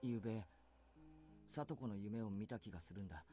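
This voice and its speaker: Man acting a fast-talking earnest teenage boy. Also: lowish voice, mellow, soft background music, lightly acted. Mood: uneasy. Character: earnest, boyish teenage schoolboy, sensitive. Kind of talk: Japanese anime visual novel acting.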